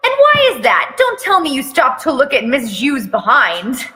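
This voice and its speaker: A woman's voice: sits high, wildly swooping pitch, moderate pace, pushed and bright, big-room sound, faint music behind, exaggerated voice. A woman voicing a stern, exasperated mother who scolds and nags in a dramatic story reading. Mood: nagging, suspicious. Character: stern, nagging, exasperated mother of a teenage boy.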